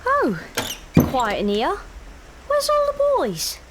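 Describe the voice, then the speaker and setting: A woman's voice: very high voice, wildly swooping pitch, slow, very noisy, full character voice. An actress playing a teasing, wry, streetwise young woman among London thieves in an audio drama.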